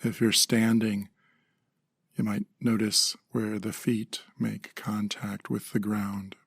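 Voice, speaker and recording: A man guides a meditation calmly, leaving pauses between short phrases. His voice is low and very husky with a lot of creak, and it stays even. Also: dry recording, clean studio sound. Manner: calm, gentle, measured phrasing with pauses.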